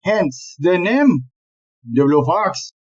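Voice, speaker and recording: A slightly dull recording of an audio drama. A woman plays a male police chief inspector, smooth-talking and dismissive, a philanderer who speaks slowly in a lowish register. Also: sing-song, full character voice. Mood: composed. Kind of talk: audio play acting.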